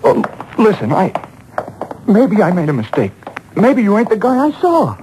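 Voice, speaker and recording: An American actor playing a nervous, weaselly small-time stooge who stammers and pleads in an old-time radio drama. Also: male voice, high-pitched, huge pitch swings, normal speed, a little mellow. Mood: panicked. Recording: noisy old radio show taped off air.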